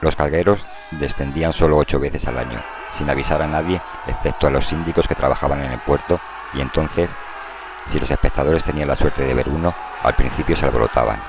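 A man narrating a dramatized novel in a measured, literary storytelling style. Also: extremely low pitch, steady tone, noisy background. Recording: prominent music under the voice.

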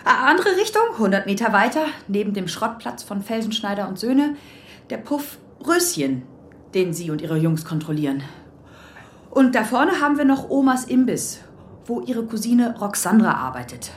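Actress as a cheeky, very lively detective assistant. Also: female voice, medium pitch, moderate pace, roomy sound, slight background noise, close to an everyday voice. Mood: provocative, probing. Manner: provocative, pointed questioning. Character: cheeky, persistent young female police detective assistant. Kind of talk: crime radio drama acting.

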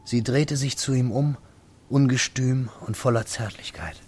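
An actor playing the narrator of a literary radio drama, telling the story of a diary in a sombre, measured way. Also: male voice, medium pitch, a little mellow, slightly rough. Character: sombre male narrator piecing together a friend's diary.

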